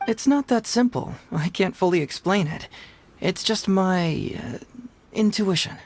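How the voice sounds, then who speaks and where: An actor playing a confident, free-spirited young male detective in a video game, reasoning in a measured, deliberate way. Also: high voice, lively intonation, full character voice. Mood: musing, confident. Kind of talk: video game character dialogue.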